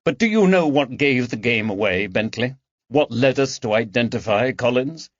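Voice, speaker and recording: A man's voice: medium pitch, expressive, normal speed, no echo, dull audio, pitched above the performer's own voice, lightly acted. A man voicing a shrewd, coldly mocking detective in an audiobook, with a dry, taunting manner. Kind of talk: audiobook character dialogue.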